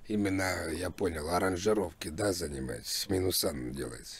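A man's voice: low voice, normal speed, crowd noise. A man making a prank call as a music producer, chatting casually in repetitive patter. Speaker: prank caller posing as a rap label producer. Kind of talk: casual phone talk.

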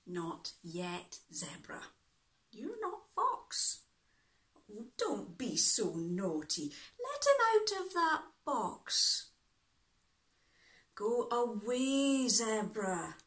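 A woman's voice: a little high, huge pitch swings, very slow, fairly bright tone, full character voice. A woman reading a picture book as a bossy, exasperated little girl, firm and emphatic in her scolding. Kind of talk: picture-book read-aloud.

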